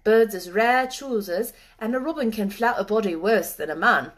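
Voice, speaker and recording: A woman's voice: mid-range voice, moderate pace, natural voice. A woman voicing a cheerful, friendly moorland boy in a storybook, with a Yorkshire accent and easy, earthy dialect speech. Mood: friendly, assured.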